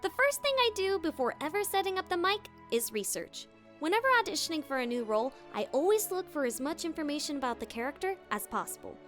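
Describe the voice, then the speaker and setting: Casting: female tutorial host, high-pitched, playful and theatrical, lively swings in intonation. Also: fast talker, faint music behind. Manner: animated, theatrical emphasis. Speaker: voice actor and video host. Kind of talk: scripted, playful tutorial narration.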